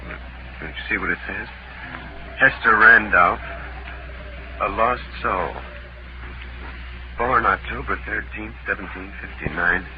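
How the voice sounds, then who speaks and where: Low-voiced anxious husband played by an actor. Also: male voice, natural voice. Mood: shaken. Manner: halting, urgent. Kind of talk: 1940s radio drama acting. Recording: muffled, noisy old radio recording.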